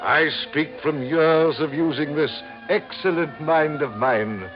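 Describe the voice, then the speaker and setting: An actor playing a pompous, conceited old captain in a radio drama, long-winded and full of himself. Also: male voice, fairly high pitch, takes it slowly. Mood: pompous, boastful. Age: older. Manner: pompous, long-winded, boastful, stammering with self-importance. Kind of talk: 1950s radio drama acting. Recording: dull old radio recording, music behind.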